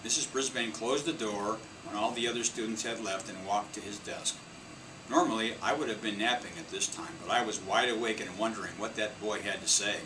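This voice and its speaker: A man reading a children's story aloud in an expressive storytelling style. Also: lowish voice, fairly quick. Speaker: storybook reader. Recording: distant mic, clear air-conditioning hum.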